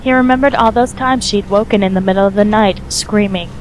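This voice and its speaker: A woman's voice: medium pitch, fairly quick, slight background noise, rough recording. A woman reading scripted story narration as the narrator of an audio drama.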